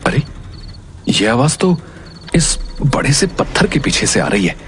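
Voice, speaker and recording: A man's voice: medium pitch, big pitch swings, a little slow, soft background music. A man voicing an earnest, curious young male reporter in a cartoon story, often thinking aloud. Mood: puzzled, determined.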